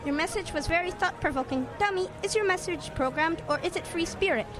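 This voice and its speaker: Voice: very high voice, fairly quick, over music. An actor playing a strange otherworldly male visitor with a mechanical voice and stilted, formal, courteous speech in a radio drama. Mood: curious, polite. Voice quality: unnatural, mechanical-sounding voice. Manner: stilted, literal, formal phrasing.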